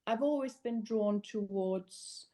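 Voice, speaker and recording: A young woman from Norway, a guest on a podcast interview, talking casually and relaxed, laughing easily and restarting her sentences now and then. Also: medium pitch, slow pace. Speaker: guest, powerboat racer.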